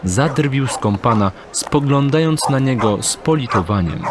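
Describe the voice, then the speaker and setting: A man's voice: mid-range voice, animated, moderate pace, background noise. A man reading scripted narration for an audio drama.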